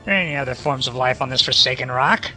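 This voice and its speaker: A man playing a gruff, sceptical spaceship crewman in a sci-fi audio drama. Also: medium pitch, quick pace, pushed and bright, soft background music. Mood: doubtful.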